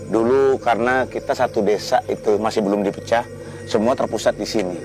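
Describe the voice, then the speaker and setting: Local male guide, deep voice, measured polite explanations, outdoors, soft music bed. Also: fairly even intonation. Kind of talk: explaining local lore on a walk. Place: outdoors at night.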